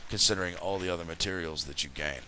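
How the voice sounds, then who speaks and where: A man hosting a casual game commentary, his voice raspy from having just woken up, rambling and chatty as he plays. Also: sits low, quick pace, bright, forceful tone. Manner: rambling, chatty, self-correcting. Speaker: let's play host.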